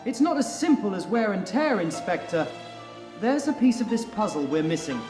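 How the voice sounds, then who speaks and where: A man's voice: high voice, very animated, moderate pace. A man playing an intense, brooding investigator in an audio drama, speaking with dramatic urgency. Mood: brooding intensity. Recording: echoey room under loud music.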